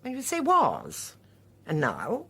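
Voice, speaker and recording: Genteel old lady for radio drama: husky, low-ish, very lively intonation, shrewd and probing. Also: slow pace, exaggerated voice. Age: older. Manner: genteel, well-mannered, probing.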